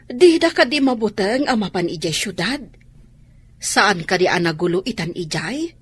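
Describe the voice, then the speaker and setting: A woman's voice: mid-range voice, sing-song, normal speed, slight background noise, lightly acted. A woman with an Ilocano accent playing a nosy, alarmist village neighbour in a radio drama, fretful and cautioning.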